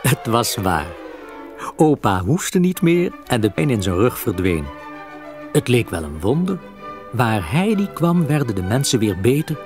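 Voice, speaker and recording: A man narrating a children's story as a storyteller, expressive and with dramatic pauses. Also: mid-range voice. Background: soft music.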